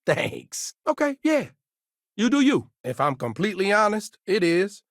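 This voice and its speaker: An actor playing an eager, gullible fast-food manager in a video game, talking in an upbeat, chatty, salesy way. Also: male voice, high-pitched, sing-song, no echo, clean studio sound.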